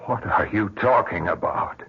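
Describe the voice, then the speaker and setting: An actor playing an anxious, doubting husband in an old radio drama, hesitant and pleading, on a muffled old recording. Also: male voice, deep voice, lively intonation, measured pace, gravelly, slightly rough, heavily acted. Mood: uneasy.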